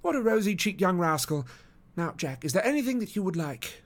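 A storybook gentleman detective of Victorian London, played by a man, with a swooping, lifted pitch. He is shrewd and genteel, coaxing smoothly and blandly. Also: very animated, a little fast. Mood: coaxing, genial.

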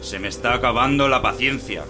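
An actor playing a vain, short-tempered working-class husband, defensive and domineering, in an audio drama. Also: male voice, mid-range voice, fairly quick, bright, forceful tone, faint music behind, natural voice. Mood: irritated.

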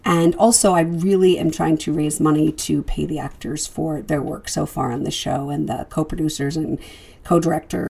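A woman's voice: deep voice, gravelly, dark tone, breathy edge. A woman hosting a podcast, giving an informal, chatty spoken introduction. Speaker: podcast host and producer.

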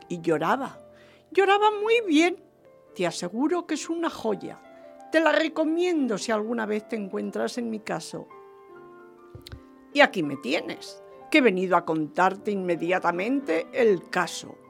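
A woman acting as a scheming aristocratic lady in a radio play, high and unhurried. Her delivery is theatrical and gossipy, with wide swings and a touch of creak. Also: exaggerated voice. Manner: theatrical, exclamatory, dramatic. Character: gleeful, scheming marquise gossiping about her divorce.

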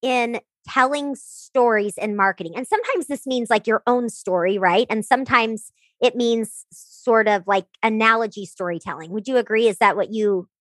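A woman with a high, small voice hosting a casual interview over a video call, often agreeing softly. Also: normal speed, hardly any pauses, dry recording. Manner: warmly agreeing, frequent soft affirmations. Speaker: interview host, coach.

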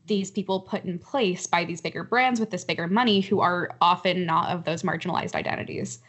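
A woman speaking as a panelist in a roundtable discussion, in a measured, deliberate way. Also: on the low side, a bit bright.

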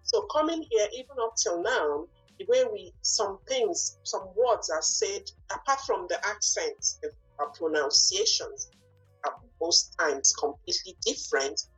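A woman from Nigeria with a Nigerian accent, whose first language is not English, answering interview questions and often pausing and restarting to find her words. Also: medium pitch, animated, fairly bright tone, husky, soft background music, rough recording. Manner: searching for words, frequent fillers and restarts. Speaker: guest, lawyer and business owner.

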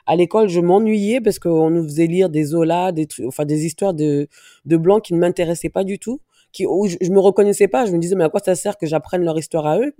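French woman being interviewed, mellow voice, stops and restarts a lot, dry close sound. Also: fairly low pitch, no room sound. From France. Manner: halting, searches for words, many restarts. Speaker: interview guest, dance teacher. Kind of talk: personal testimony in an interview.

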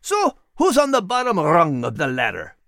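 A scrappy critter, performed by a male narrator, talks bluntly and with folksy good nature. The voice is lively and bouncy, storybook style, recorded dry. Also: on the high side, moderate pace, no echo, pitched above the performer's own voice, exaggerated voice. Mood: amused, curious.

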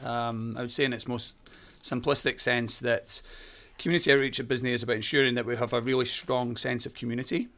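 A Scottish man in his thirties speaks in an easygoing, middle-pitched voice. He chats warmly as a guest, with frequent fillers and small restarts. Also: little pitch movement, fast, few pauses. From Scotland. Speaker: primary school teacher. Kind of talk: conversational interview.

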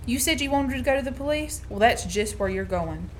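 An actress plays a stubborn, sharp-tongued young woman in a radio drama. Her voice is fairly high, with a prim, challenging delivery. The recording carries faint noise. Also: expressive, fairly quick, close to an everyday voice. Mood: defiant. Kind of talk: radio play acting. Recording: noisy student radio play recording.

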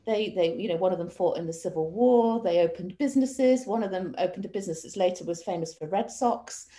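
A woman's voice: on the low side, brisk, mellow. A middle-aged woman from Manchester with an English accent, talking casually and discursively as an interview guest, with enthusiasm for what she researches. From Manchester, England. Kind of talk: casual conversational storytelling.

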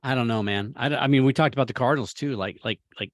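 An American man chats about sports as a co-host, in a dry, close sound. He is animated and opinionated, rambling along in bursts at an ordinary male pitch. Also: fast, no room sound. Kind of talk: casual sports chat.

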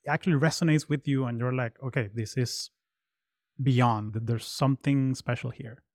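A man's voice: medium pitch, fairly even intonation, moderate pace, a little mellow, no echo, clean studio sound. A man hosting a podcast interview, enthusiastic and complimentary toward his guest. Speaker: podcast host. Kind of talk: conversational interview.

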